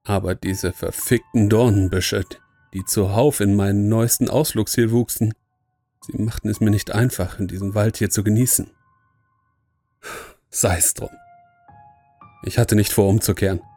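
Low, dark-voiced male horror narrator. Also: steady tone, a little slow, pauses often, soft background music. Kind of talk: scripted horror story narration, first person.

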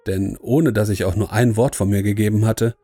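A man's voice: lowish voice, fairly even intonation, normal speed, mellow, no room sound, faint music behind. A man reading a scripted first-person horror story as its narrator.

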